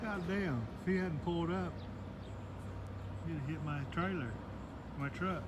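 A man's voice: fairly high pitch, a little slow. A man vlogging as a truck driver, giving wry, offhand commentary while filming outdoors on a phone camera. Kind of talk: casual vlog commentary. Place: outdoors at a store lot by a field. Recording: loud outdoor traffic noise.

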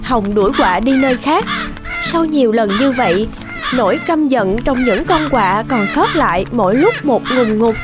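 A woman's voice: a little high, lively intonation, normal speed. A woman reading scripted fairy-tale narration for a cartoon. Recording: music behind the voice. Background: music.

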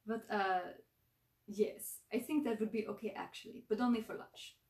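A woman's voice: mid-range voice, moderate pace, creaky, darkish tone, natural voice. A wary, touchy storybook squirrel monkey boy from the circus, played by a woman. He talks in a thick Russian accent with blunt, clipped, broken sentences. The voice is distant in a very echoey room. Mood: grudging. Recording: roomy echo, far from mic.

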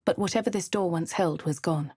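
Posh husky heroine. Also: female voice, on the low side, a little fast, a little mellow, no room sound, studio quality. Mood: measured. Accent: posh English. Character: posh female adventurer hero narrating her deeds. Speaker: player character voice. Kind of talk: game voice lines, first-person narration.

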